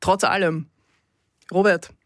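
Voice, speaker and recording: A woman's voice: fairly low pitch, fairly even intonation, takes it slowly, dry recording, clean studio sound. A woman hosting an informal live radio talk, searching for words as she goes. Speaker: radio host.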